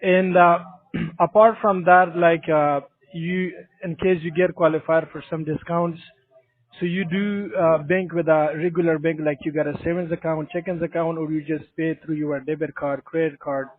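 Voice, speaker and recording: A male call center agent speaking over a tinny phone line. He sounds polite and agreeable, with a slightly raised pitch, as he works through a sales script. He keeps affirming the caller. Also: fairly even intonation, moderate pace. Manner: polite, agreeable, repeats "exactly".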